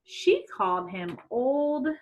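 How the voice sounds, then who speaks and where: A middle-aged woman speaks as a teacher to young children at storytime. Her delivery is unhurried and very lively in pitch, and she often turns to her listeners with questions. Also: low-quality recording. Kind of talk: read-aloud storytime for children. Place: small room at home.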